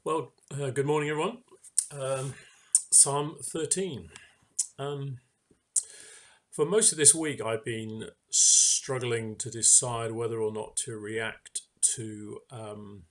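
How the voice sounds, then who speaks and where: A man giving an informal sermon reflection in a hesitant, halting way, pausing mid-phrase. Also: medium pitch, fairly even intonation. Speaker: church speaker giving a reflection.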